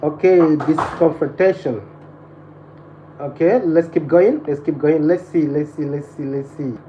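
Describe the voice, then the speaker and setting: A man's voice: fairly high pitch, fairly even intonation, measured pace. A man from Nigeria with a Nigerian accent hosting a casual livestream, addressing viewers and repeating his phrases insistently. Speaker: live stream host. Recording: dull lo-fi live stream, background noise.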